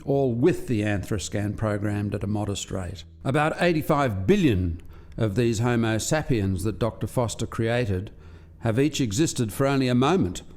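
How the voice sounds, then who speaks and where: Man playing articulate radio-play scientist, medium pitch. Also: darkish tone, natural voice. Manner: explanatory, precise. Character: articulate alien scientist lecturing a visitor on his experiment.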